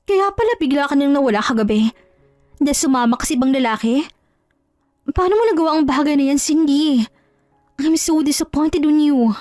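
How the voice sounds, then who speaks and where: A woman's voice: sits high, a little fast, pauses often, darkish tone, dry recording, studio quality, soft background music, voice pushed up, close to an everyday voice. Woman acting a bitter, accusing betrayed husband. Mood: hurt anger. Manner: accusing, scornful. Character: betrayed husband, bitter, angry, scornful, rejecting his wife. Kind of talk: melodramatic audio drama acting.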